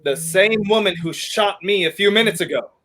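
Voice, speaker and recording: A man's voice: sits high, moderate pace, a bit bright. A young man playing a debonair, wry fugitive in a 1930s radio play, with a clipped theatrical delivery. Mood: wry, matter-of-fact. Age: young adult. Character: debonair, wry wrongly accused man on the run.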